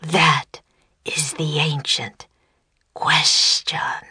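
A woman voicing a giant ancient sea serpent in a storybook, menacing and solemn, with slow, breathy, booming, hissing speech. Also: little pitch movement, sharp tone, no room sound, whispered, clean studio sound, exaggerated voice. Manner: very slow, drawn-out, imperious speech.

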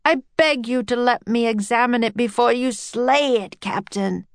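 A woman's voice: on the high side, measured pace, no echo, voice pushed up. A woman voicing an urgent, pleading male professor in a storybook reading. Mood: begging.

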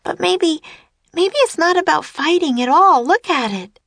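A woman voicing a plucky, excitable young girl in a storybook, loud, urgent and emphatic. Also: extremely high pitch, unhurried, gravelly, no echo, voice pushed up, full character voice. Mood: thoughtful, excited.

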